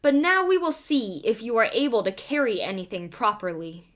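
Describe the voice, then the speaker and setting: A woman's voice: a little high, expressive, moderate pace, lightly acted. A woman voicing a boastful, easily fooled male giant in a storybook reading. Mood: challenging. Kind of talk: storybook character voice.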